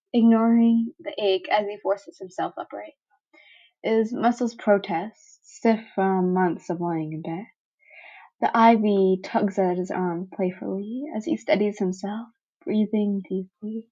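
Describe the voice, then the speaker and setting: A woman narrator with a mid-pitched voice reads a dramatic story. Her delivery is very slow, brooding and halting, and the home recording sounds somewhat dull. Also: fairly even intonation, mellow. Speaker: fan fiction narrator.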